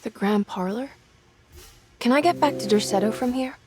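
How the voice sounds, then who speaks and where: A woman's voice: a little high, normal speed, a little mellow, slightly rough. An actress playing an anxious but determined young woman in a video game cutscene, earnest and questioning. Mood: puzzled, earnest. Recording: background music behind the voice.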